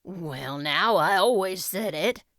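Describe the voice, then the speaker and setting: A woman voicing a quietly delighted, plain-spoken older country man in a storybook reading. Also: on the low side, animated, takes it slowly, no echo, studio quality, full character voice. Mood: quiet pride.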